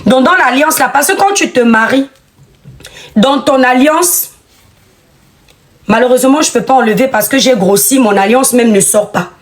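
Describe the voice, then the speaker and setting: A woman's voice: sits high, normal speed, long pauses. A woman giving an outspoken commentary monologue, emphatic and scolding, repeating phrases for effect.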